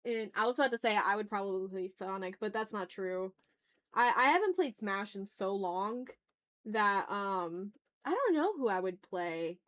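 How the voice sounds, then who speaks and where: A woman chatting casually as she hosts an art livestream, talking to her viewers in an animated, slangy, teasing way with some swearing. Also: mid-range voice, moderate pace. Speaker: livestream host and artist. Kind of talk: casual art livestream chat, reading and answering chat messages.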